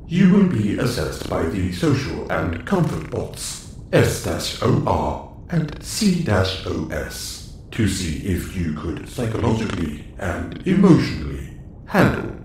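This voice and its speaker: A deep-voiced android commander, acted by a man, formal and clinical in an audio roleplay. The delivery is slow yet swings widely in pitch, with a roomy echo around it. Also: a little mellow, exaggerated voice. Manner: precise, formal, clinical phrasing. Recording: roomy echo, faint background noise.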